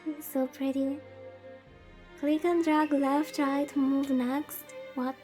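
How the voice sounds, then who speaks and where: A woman with a high-pitched voice streams a visual novel and chats casually to viewers. She takes her time, speaking slowly with a playfully dramatic, lamenting manner. Soft music plays behind her. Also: flat delivery. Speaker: VTuber streamer, host. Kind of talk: casual livestream chat while playing a visual novel. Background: background music.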